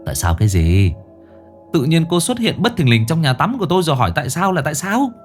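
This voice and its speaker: A man's voice: a little high, very animated, quick pace, faint music behind, pitched above the performer's own voice. A man playing an exasperated young man in an audio story, flustered and protesting, trying to placate someone. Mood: flustered, indignant. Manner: flustered protesting, stammering, soothing. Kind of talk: audio ghost story character voice.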